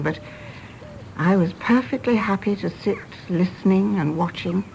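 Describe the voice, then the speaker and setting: A woman playing a gentle, restrained, wistful middle-aged British woman in a radio drama, with a creaky voice. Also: on the low side, a little hiss or hum, rough recording.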